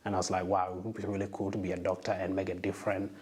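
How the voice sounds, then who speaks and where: Earnest low-voiced young man. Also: level tone, racing, a little mellow, husky. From South Sudan. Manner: earnest, reflective storytelling. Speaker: medical student, interviewee. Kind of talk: casual interview.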